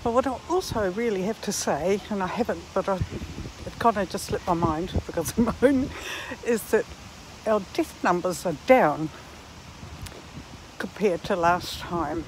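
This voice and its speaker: Female vlogger, 60s, unhurried and musing, recorded walking outside with light background noise. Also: mid-range voice, expressive. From Netherlands and New Zealand. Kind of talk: reflective walking vlog monologue. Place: outdoors, walking in a park.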